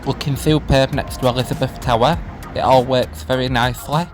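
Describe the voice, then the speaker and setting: A man's voice: medium pitch, fairly even intonation, fairly quick. A man with a cold, chatting casually as he walks outdoors, saying um often. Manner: chatty, enthusiastic, filler-heavy. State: has a cold, sniffling. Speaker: vlogger. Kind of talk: casual walking vlog. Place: outdoors in a city park. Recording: music playing underneath.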